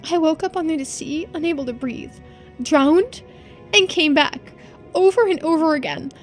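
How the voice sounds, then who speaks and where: A woman's voice: sits high, normal speed, soft background music, pitched above the performer's own voice, close to an everyday voice. A woman voicing a girlish, earnest and resigned immortal character in a visual novel. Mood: distressed recollection.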